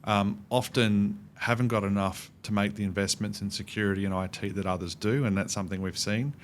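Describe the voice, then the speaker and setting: Middle-aged Australian male expert, very low and flat-pitched, measured explainer in interview mode, faint rumble behind. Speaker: podcast guest, cybersecurity expert. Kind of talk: conversational interview answers.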